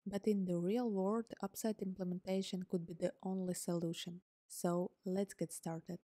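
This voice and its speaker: Low mellow woman, native Ukrainian speaker. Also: normal speed, no room sound. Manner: calm, step-by-step explaining. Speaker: tutorial host. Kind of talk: scripted coding tutorial narration. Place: voice-over on screen recording.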